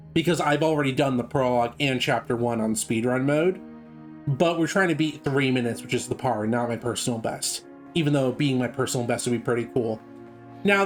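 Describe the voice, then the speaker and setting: A man giving casual, chatty live commentary on a game speedrun, explaining as he goes. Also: on the high side. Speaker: gameplay commentator.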